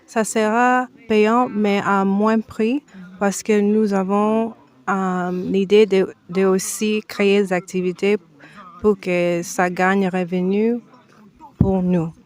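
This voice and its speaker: A woman from the United States, answering a TV interviewer's questions slowly and with many hesitations. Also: mid-range voice, flat delivery, a little mellow. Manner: slow, halting, with frequent hesitations and restarts. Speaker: foundation president, guest.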